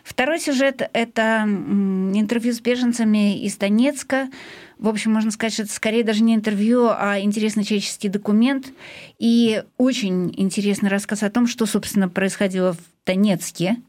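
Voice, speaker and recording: A woman's voice: mid-range voice, fairly even intonation, brisk, darkish tone. A woman hosting a community radio programme from a studio, introducing its segments. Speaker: radio host.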